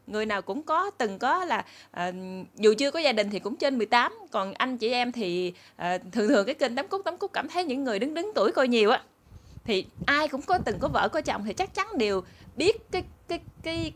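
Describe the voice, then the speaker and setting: A woman's voice: on the high side, fairly bright tone, slight background noise. Chatty southern Vietnamese woman storyteller. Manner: chatty, conversational, addressing viewers as family. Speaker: channel host and storyteller. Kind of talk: casual storytelling to followers. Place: small room indoors.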